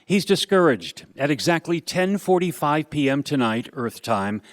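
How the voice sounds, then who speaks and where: A man playing a calm, authoritative senior angel with a measured, kindly manner in a radio play. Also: fairly high pitch, dry recording, lightly acted. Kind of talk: live radio play acting.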